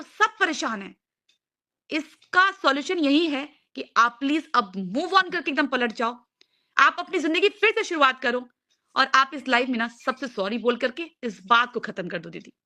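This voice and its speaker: Young female live host, high and sharp-edged voice, urging and pleading earnestly in casual conversation. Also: expressive, normal speed. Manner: earnest, insistent, repetitive pleading.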